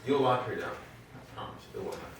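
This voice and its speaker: A man's voice: fairly low pitch, lively intonation, rapid-fire. Earnest man in an echoey room. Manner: earnest, restarts while explaining. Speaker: guest. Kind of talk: casual group chat. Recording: echoey room, mic far off.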